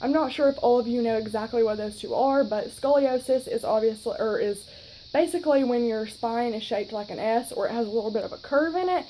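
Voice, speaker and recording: A young woman in her twenties with a Southern accent talks straight to her phone in a relaxed, rambling way, full of "you know" and "um". Her voice sits a little high but sounds mellow, with a faint hiss of noise under it. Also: a little fast, rough recording. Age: 20s. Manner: chatty, rambling, frequent fillers. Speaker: vlogger. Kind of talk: casual chat to camera.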